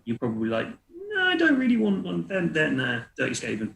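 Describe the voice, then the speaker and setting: Creaky-voiced man running a tabletop game. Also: on the high side, huge pitch swings, a little fast, fairly bright tone, roomy sound. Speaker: game master. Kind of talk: narrating a tabletop roleplaying game.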